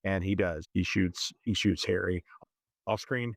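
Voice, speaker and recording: A man discussing films conversationally as a podcast host, in a halting, self-correcting way. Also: low-pitched, creaky, dry recording, clean studio sound. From moved to Zambia at eleven, schooled in Kenya.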